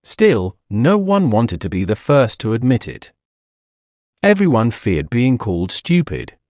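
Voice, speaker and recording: A male audiobook narrator tells a story for language learners at an unhurried pace. His delivery is expressive, with lively rises and falls in pitch. The sound is dry and close, with each phrase clearly set out. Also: no room sound.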